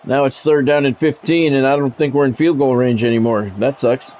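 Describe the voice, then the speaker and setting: A man's voice: medium pitch, steady tone, brisk, lo-fi sound. A man doing radio-style play-by-play of a football video game on a livestream, with swearing and greetings to viewers.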